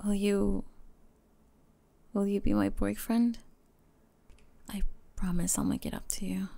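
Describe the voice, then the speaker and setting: A woman voicing a teenage goth bully with a secretly tender, flustered side, in an ASMR romance roleplay full of giggles and hushed confessions. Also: medium pitch, steady tone, slow pace. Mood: vulnerable, tender. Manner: breathy giggles, hushed confessions, playful sarcasm.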